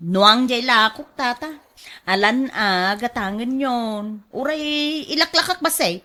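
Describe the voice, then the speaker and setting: A woman with an Ilocano accent acting as a sly, fast-talking livestock seller in a radio drama, animated and exclamatory. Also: fairly high pitch. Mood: coaxing.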